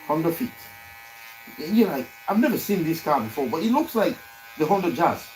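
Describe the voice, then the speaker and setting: A man with a fairly high voice tells stories in a barbershop chat. He talks with animation and repeats words when he gets excited. Faint shop noise runs under his voice. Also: normal speed, low-quality recording. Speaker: barbershop podcast guest. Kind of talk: casual chat.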